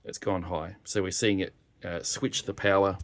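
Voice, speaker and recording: A man's voice: deep voice, little pitch movement, moderate pace. A man giving a casual live technical demonstration as a developer, thinking aloud hesitantly while he works and types. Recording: noisy room with keyboard clicks. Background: typing and clicking at a workbench keyboard.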